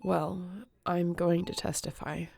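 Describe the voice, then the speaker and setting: Woman acting a low, gravelly, worried young woman. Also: flat delivery, slow pace, a little mellow, full character voice. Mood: gentle, reassuring. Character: tired, worried young woman, ex-criminal recruit in sci-fi, tender. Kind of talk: intimate audio roleplay acting.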